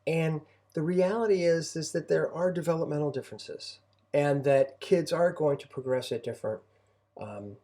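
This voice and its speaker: A man's voice: a little high, normal speed, dark tone. A middle-aged man speaking casually as a co-host and homeschooling parent, giving advice in a reflective, encouraging way. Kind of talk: casual conversational advice talk.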